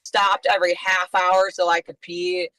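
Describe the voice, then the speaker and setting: A young woman with a deep voice that is raspy from shouting, talking loudly and eagerly with lots of "like" as an interview guest. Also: flat delivery, a little slow, no echo, poor audio. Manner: loud, enthusiastic, lots of "like". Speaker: strongman athlete guest. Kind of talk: casual interview chat.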